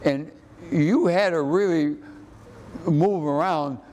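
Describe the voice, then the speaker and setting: Slow-talking older American man, halting. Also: on the high side, darkish tone, slight background noise. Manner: halting, often repeats words. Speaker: interview guest. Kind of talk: conversational reminiscing.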